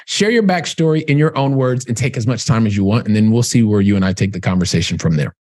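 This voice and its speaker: A man's voice: fairly low pitch, animated, fast, a little mellow. A man hosting an interview podcast, upbeat, often repeating words and restarting sentences. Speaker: podcast host.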